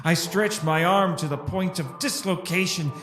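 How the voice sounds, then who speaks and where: A man playing an anguished survivor narrating his drift through oblivion in a solemn, hushed, dramatic audio drama style. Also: high voice, normal speed. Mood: desperate. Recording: echoing voice with music underneath.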